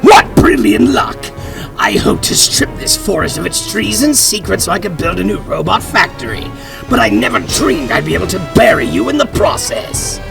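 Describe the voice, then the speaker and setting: A man playing a gloating, theatrical mad scientist villain in an audio drama, with a gravelly voice. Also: sits high, big pitch swings, normal speed, far from the mic, music in the background, exaggerated voice.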